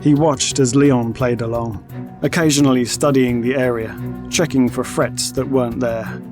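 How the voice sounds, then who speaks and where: A man's voice: medium pitch, fairly even intonation, normal speed, over music. A man reading scripted narration for an audio drama.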